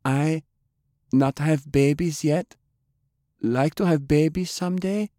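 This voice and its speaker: Guy doing a tiny high-voiced foreign pirate lady, halting broken english, timid but determined, audiobook. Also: warm tone, no echo, studio quality. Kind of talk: audiobook character acting.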